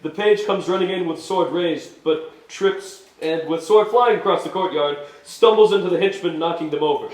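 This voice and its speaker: A man's voice: high voice, normal speed, few pauses, a little mellow. A man reading stage directions as the narrator of a live staged reading, in a scripted dramatic style. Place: indoor stage at an arts venue. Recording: echoey stage room.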